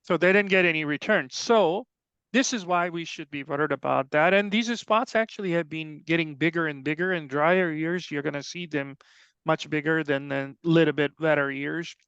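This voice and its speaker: A man's voice: on the high side, moderate pace, fairly bright tone, clear, resonant tone, no room sound, highs cut off. A middle-aged man with a Pakistani accent, giving an informal technical presentation in an explanatory, conversational way. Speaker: extension soil health specialist, presenter. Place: online webinar call.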